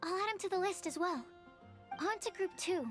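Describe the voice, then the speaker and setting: A polite young woman scholar from a video game. The voice is very high and girlish, explaining things earnestly with slight hesitance. Faint music plays underneath. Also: moderate pace. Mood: earnest, thoughtful. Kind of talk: scripted video game dialogue. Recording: music behind the voice.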